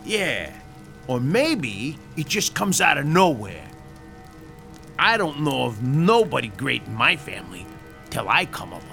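Guy doing a mob boss, gravelly, lively up and down voice, street slang, faint music. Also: medium pitch, unhurried, full character voice. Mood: gruff. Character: self-made tough Chicago mob boss. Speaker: mobster. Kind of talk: radio drama acting.

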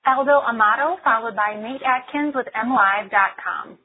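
Higher-voiced female call moderator on phone. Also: normal speed. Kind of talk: brief procedural announcements. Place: telephone conference call. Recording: tinny phone line, faint noise.

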